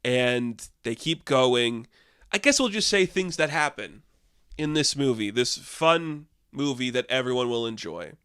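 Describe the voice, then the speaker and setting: A man's voice: mid-range voice, animated, a little slow, fairly bright tone, clear, resonant tone, no room sound, clean studio sound. A young man giving a casual, rambling film review, sarcastic and exasperated, with frequent filler words.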